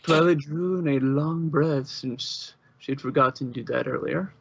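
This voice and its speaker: A man's voice: on the high side, unhurried, creaky, highs cut off, slight background noise. A man reading scripted story narration, as the narrator of an amateur audio drama.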